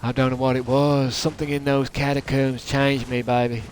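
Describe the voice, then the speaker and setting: A man's voice: medium pitch, level tone, moderate pace. A man from the United Kingdom putting on a thick Southern US, Texan drawl while giving hammy, joking, rambling commentary as a game livestreamer. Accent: put-on thick Southern US, Texan drawl. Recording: noisy, game battle sounds behind.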